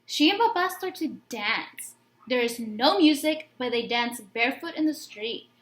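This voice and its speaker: A woman's voice: on the high side, animated, a little slow, a bit bright. A woman reading a children's book aloud as narrator. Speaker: story reader. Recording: echoey room.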